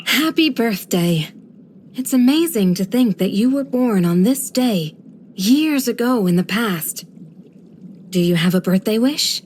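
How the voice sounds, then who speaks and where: A woman voicing a serene, kindly guardian of a desert village in a video game, with a gentle, measured, formal storytelling delivery. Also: a little high, animated, a little hiss or hum. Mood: gentle, caring. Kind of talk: scripted video game character voice lines.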